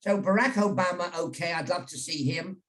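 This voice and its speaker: A man's voice: high-pitched, brisk. An older man from England with a British accent, a journalist guest chatting over a video call, rambling with many restarts and repeated words. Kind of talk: casual storytelling chat.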